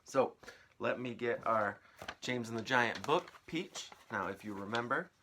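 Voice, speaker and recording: Animated man reading a story aloud. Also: mid-range voice, a little slow, slight background noise. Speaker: teacher reading aloud to pupils. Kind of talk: read-aloud storytelling with casual asides.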